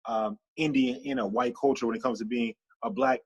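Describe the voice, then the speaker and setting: A man speaking as a podcast guest in a casual interview, animated and stacking long repeated lists as he talks. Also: medium pitch, fairly quick, breathy edge, muffled recording.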